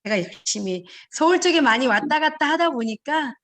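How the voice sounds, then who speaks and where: A woman who heads a fisheries cooperative, answering a panel discussion over a video call in an earnest, measured way. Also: sits high, animated, a bit bright. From Jeju Island, Korea. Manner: earnest, measured, with fillers and restarts.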